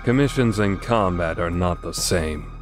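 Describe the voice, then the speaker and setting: A man's voice: sits low, animated, a little slow, faint music behind. A man voicing a proud, disdainful swordsman in a video game fan dub, speaking formally with haughty menace.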